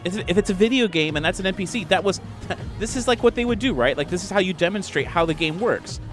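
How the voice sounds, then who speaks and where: A man's voice: sits high, lively intonation, fast talker. A man playing a tabletop game, talking in character in a casual, informal, rambling way. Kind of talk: casual improvised in-character chat. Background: music.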